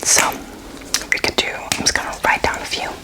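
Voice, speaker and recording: Whispering young woman, ASMR tutor. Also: moderate pace. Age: 20s. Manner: casual, playful, encouraging. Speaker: host teaching beginner German. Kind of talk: whispered ASMR language lesson.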